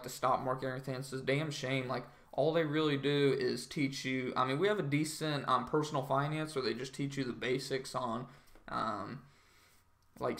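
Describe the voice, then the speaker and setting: A man's voice: mid-range voice, gravelly, a bit bright. A young man with a groggy voice, chatting casually with viewers on a trading livestream, full of ums and likes. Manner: rambling, filler-heavy, says "like" and "um" constantly.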